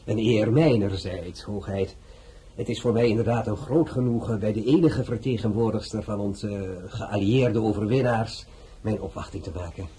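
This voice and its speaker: Sly, husky, low-voiced schemer in a radio play, oily politeness. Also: male voice, moderate pace, dark tone. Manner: smooth, ingratiating, mocking courtesy. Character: sly, scheming male police minister, middle-aged.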